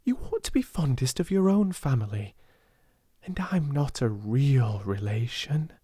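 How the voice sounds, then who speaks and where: A British man voicing a kind, imaginative young girl in a storybook, soft, coaxing and tender with animals. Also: medium pitch, big pitch swings, measured pace, slightly rough, no echo, clean studio sound, pitched above the performer's own voice. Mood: gently regretful. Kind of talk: storybook character voice.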